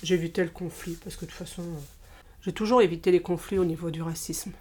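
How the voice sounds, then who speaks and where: A woman in her fifties, originally from Portugal, talks haltingly in a casual interview, with frequent pauses and restarts. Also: lowish voice, fairly even intonation, darkish tone. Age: 50s. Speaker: interviewee, childminder.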